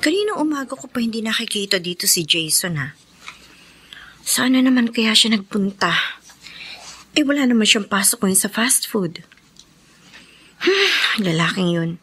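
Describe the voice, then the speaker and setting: A woman's voice: fairly high pitch, very animated, normal speed, long pauses, fairly bright tone, faint music behind, exaggerated voice. A woman playing an anguished, caring young elder sister in a Filipino radio drama, pleading and sighing. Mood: worried. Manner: tearful, pleading, sighing, breathy whispers in distress.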